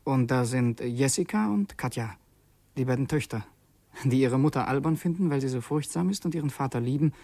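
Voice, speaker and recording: An actor playing a brooding secretary who is secretly a revolutionary assassin, speaking haltingly and reflectively in a German radio drama, at times near a whisper. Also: male voice, mid-range voice, expressive, mellow. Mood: musing, narrating.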